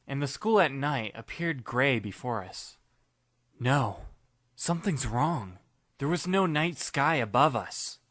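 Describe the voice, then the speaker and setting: A young man narrating in the first person, his mid-pitched voice dry and wry, with understated asides. The sound is close and dead, with no room behind it. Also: fairly bright tone, dry recording. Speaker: narrator and lead reader.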